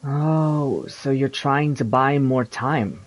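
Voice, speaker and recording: A man reading video game dialogue aloud in a halting, deliberate, amateur way. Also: medium pitch.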